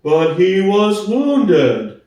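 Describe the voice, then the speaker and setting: A man voicing an earnest, slow-witted, loyal big workhorse in a storybook reading, with a laboured, stolid delivery. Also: sits high, huge pitch swings, dark tone, exaggerated voice. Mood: insistent. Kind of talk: dramatic audiobook reading. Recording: echoey room, distant from mic.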